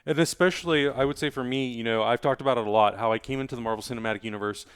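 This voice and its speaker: A man's voice: mid-range voice, very fast, smooth. A man hosting a casual movie review, talking in a conversational, agreeable way. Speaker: show host.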